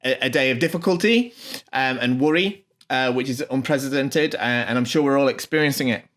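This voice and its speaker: Chatty man with halting delivery. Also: medium pitch, a bit bright. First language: not Japanese. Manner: hesitant, many ums and uhs, restarts. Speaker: channel host translating a video message. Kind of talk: informal off-the-cuff video commentary and live translation.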